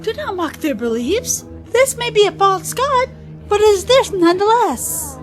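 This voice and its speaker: A woman's voice: very high voice, expressive, moderate pace, faint music behind. A woman playing an earnest, principled travelling companion who speaks formally in an audio drama. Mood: earnest, reproving.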